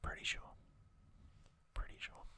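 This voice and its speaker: Male ASMR host, breathy whisper throughout, bright and crisp on the sibilants, unhurried, gentle trivia recap. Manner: slow, gentle delivery in short, drawn-out phrases.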